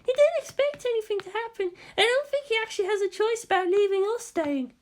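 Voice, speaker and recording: A man voicing a gentle, earnest schoolgirl class representative in an audiobook, speaking softly. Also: very high-pitched, brisk, pitched above the performer's own voice. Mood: mildly defensive. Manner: gentle, reasonable, soft.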